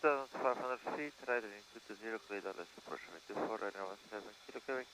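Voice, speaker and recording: A man's voice: on the low side, racing. A man, an airline pilot, giving clipped procedural readbacks over aviation radio. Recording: very noisy clicking radio transmission.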